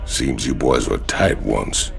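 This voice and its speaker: An actor playing a gruff, folksy outlaw in a sci-fi game, with a gravelly drawl. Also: male voice, a bass voice, takes it slowly, exaggerated voice. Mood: probing. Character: gruff, folksy, curious male outlaw comrade. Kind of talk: dramatic sci-fi game cinematic acting.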